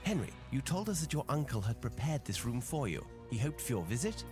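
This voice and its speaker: An actor playing a confident, wry gentleman detective with a formal, assured manner in a video game. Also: medium pitch, big pitch swings, over music, natural voice. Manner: formal, brisk, assured, lightly teasing. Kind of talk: video game dialogue acting.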